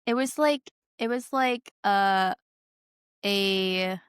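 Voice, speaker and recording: A woman's voice: mid-range voice, drawn-out words, smooth, no room sound, clean studio sound. A young woman co-hosting a podcast, chatting casually and effusively, stressing and repeating her words. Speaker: podcast co-host. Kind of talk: casual chat about films.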